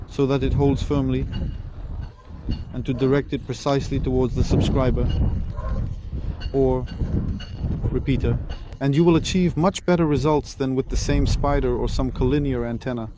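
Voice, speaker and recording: A man's voice: mid-range voice, background noise. A man giving a hands-on product review and demonstration, explaining plainly and step by step as the presenter. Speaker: presenter reviewing radio equipment.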